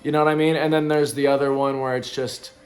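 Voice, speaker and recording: A man's voice: mid-range voice, flat delivery, quick pace, soft background music. A man of thirty talking loosely to camera in a casual reflective vlog, rambling with plenty of ums and likes. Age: 30s. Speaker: vlogger. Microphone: camera in front of him.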